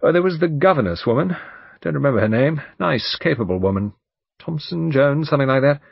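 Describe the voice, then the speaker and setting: Man voicing a bluff, overbearing English barrister, swooping pitch. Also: lively intonation, brisk, vocal fry, breathy edge, dry recording, lo-fi sound, heavily acted. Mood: chatty. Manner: breezy, emphatic, chatty with hesitations. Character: bluff, overbearing, urbane English male barrister, hearty and dismissive. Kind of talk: audiobook character dialogue.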